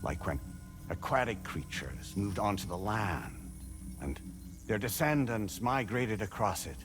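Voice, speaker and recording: Game villain-style AI collective: grandiose, deliberate lecturer, deep register, very varied intonation. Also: male voice, very animated. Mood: measured lecturing. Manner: formal, oratorical, deliberate lecturing. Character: calm, grandiose non-human AI collective posing as a man. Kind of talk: video game cutscene monologue. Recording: music under the voice.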